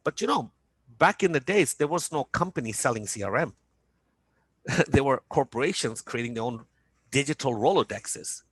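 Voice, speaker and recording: A man's voice: mid-range voice, expressive, normal speed, a bit bright, husky, no room sound. A man from Norway speaking in a business panel talk as a company founder, hesitant with many fillers and restarts.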